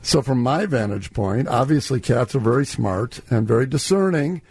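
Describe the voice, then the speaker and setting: A man's voice: medium pitch, expressive, moderate pace, a little mellow, slightly rough, no room sound. Dry-sounding male executive explaining his product. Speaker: company CEO, guest on radio show. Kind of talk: conversational product promotion.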